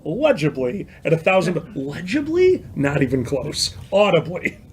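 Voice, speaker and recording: A man with a Chicago accent co-hosts a casual livestream, trading jokes and stories. His voice sits high and swings widely in pitch. He talks about himself with a mocking, self-deprecating humour. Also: sing-song, measured pace, a little hiss or hum. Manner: joking, self-deprecating storyteller. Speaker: podcast co-host.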